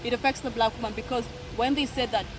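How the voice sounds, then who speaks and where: Animated woman podcaster, fairly high voice. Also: quick pace, background noise. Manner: animated storyteller, acts out quoted voices; emphatic, repeats words when making a point. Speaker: podcast co-host. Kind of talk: casual podcast discussion.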